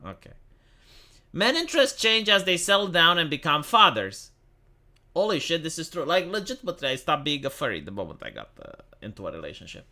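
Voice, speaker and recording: A man's voice: on the high side, sing-song, a little fast, pushed and bright. A young man from Romania hosting a casual livestream, chatting with his chat and reading articles aloud, with many restarts and the odd swear. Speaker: livestream host.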